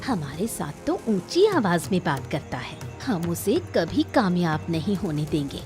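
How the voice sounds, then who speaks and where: A woman voicing a spiteful, scheming village wife in an animated moral story, mocking and scornful. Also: lowish voice, measured pace, over music. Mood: spiteful, gloating.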